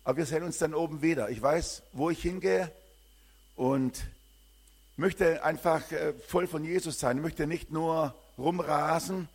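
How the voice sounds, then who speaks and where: Older German missionary, rambling testimony. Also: male voice, a little high, unhurried. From southern Germany. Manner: warm, rambling, with frequent hesitations. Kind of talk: church greeting and personal testimony.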